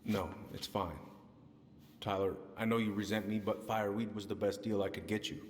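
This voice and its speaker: Low-voiced folksy police chief uncle played by a man. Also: steady tone, normal speed, in a large hall, slight background noise, close to an everyday voice. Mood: defensive, firm. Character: gruff avuncular small-town police chief, defensive uncle. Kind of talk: naturalistic video game acting.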